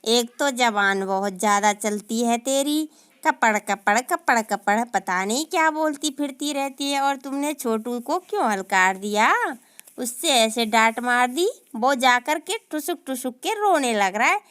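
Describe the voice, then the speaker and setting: A woman voicing a sharp-tongued old aunt who grumbles and scolds, slowly, in a comic sketch. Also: fairly high pitch, expressive, clear, resonant tone, voice pushed down. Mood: grumbling. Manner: complaining, slow and scolding.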